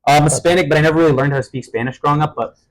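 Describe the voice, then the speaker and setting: A man's voice: a little high, fairly even intonation, rapid-fire, low-quality recording. A man hosting a podcast, interviewing casually. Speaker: podcast host.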